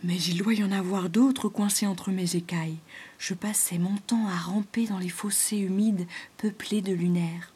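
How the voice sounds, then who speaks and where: A sad, exiled python, male and soft-spoken, speaks in a slow, hushed, breathy whisper, as a woman reads him aloud. His voice sits low and mellow, with a husky edge. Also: steady tone. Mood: gentle.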